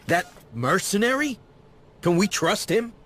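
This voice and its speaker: Blunt, wisecracking action sidekick. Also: male voice, high-pitched, big pitch swings, measured pace, exaggerated voice. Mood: wry, wary. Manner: blunt, sardonic, swears. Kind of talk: cinematic video game acting. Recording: loud music under dialogue.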